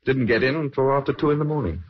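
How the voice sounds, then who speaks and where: A man's voice: medium pitch, quick pace, over loud music, close to an everyday voice. An actor playing a plain-spoken sailor answering police questions in a 1950s radio drama, a man's voice. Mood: matter-of-fact. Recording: dull old radio recording.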